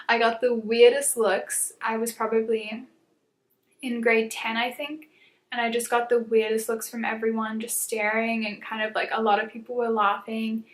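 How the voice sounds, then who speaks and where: A woman's voice: fairly high pitch, flat delivery, normal speed. A woman in her twenties from South Africa, chatting casually on her channel, with frequent laughs and fillers. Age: 20s. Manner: chatty, laughs often, many "um"s and "like"s. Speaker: YouTuber. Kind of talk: casual get-to-know-me chat. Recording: echoey room.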